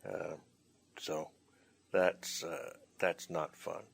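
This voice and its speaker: A man's voice: low-pitched, level tone, very slow, creaky, husky. A man co-hosting a casual chat as a writer and director, speaking in a hesitant, self-deprecating way. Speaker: co-host, writer and director of an audio play. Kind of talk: casual hosted chat introducing out-takes.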